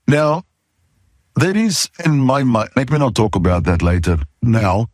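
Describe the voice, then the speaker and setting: A middle-aged or older man with a South African accent, hosting an informal podcast talk in a candid, emphatic way, pausing between short phrases. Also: mid-range voice, no echo, clean studio sound. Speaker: podcast host, safety consultant.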